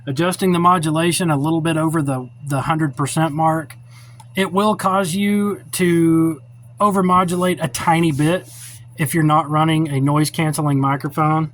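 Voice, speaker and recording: Man, middle-aged, somewhat high voice, blunt, informal tutorial delivery, unscripted, light background noise, cell phone audio. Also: fairly even intonation, moderate pace, a bit bright. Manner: blunt, opinionated, plainspoken. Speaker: radio hobbyist giving a tutorial. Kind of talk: informal unscripted how-to demonstration. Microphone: cell phone held or set up while filming. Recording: noisy cell phone recording.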